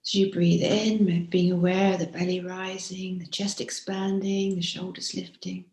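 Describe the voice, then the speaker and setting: A woman guiding a body scan meditation as a teacher, speaking gently and softly, unhurried, with frequent pauses and breathy phrase endings. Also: fairly low pitch, level tone. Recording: roomy, echoing room sound.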